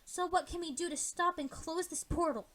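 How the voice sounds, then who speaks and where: Woman voicing an emphatic, high-pitched young pony princess. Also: normal speed, a bit bright. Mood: resolute. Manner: emphatic, exclamatory. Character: young pony princess ruler, earnest, indignant, resolute.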